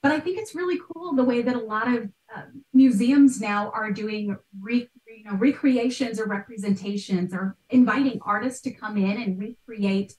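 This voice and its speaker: A woman's voice: fairly high pitch, moderate pace, hardly any pauses, darkish tone. American woman explaining, in a roomy space. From United States. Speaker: podcast guest, art history expert. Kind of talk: conversational explaining in an interview. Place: small bright room at home. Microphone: video call. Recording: roomy video-call sound.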